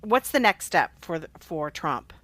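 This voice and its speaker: A woman with a bright, forceful voice, pitched slightly low, in a dry close studio sound. She interviews conversationally as a radio host, rephrasing as she feels her way to the question. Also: very animated, a little slow, no room sound.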